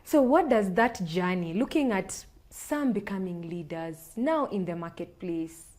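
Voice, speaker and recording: A young woman hosts a podcast interview with her mentor. She speaks at a moderate mid pitch and keeps the conversation going with soft affirming murmurs. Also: animated, a little slow. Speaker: podcast host and psychologist. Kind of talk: interview, casual chat.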